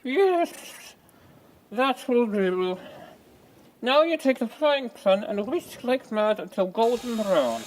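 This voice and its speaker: Man as a slow, earnest TV cook. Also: very high voice, expressive, slight background noise, heavily acted, rough recording. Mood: earnest, absurd. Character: earnest, absurd, unflappable TV cookery instructor. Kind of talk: parody cookery demonstration.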